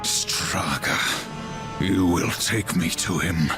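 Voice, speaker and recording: A man's voice: low voice, fairly even intonation, slow. An actor playing a stern, brooding horseman warrior in a video game, terse and commanding. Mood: accusing, resolute. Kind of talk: video game cutscene acting. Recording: music behind the voice.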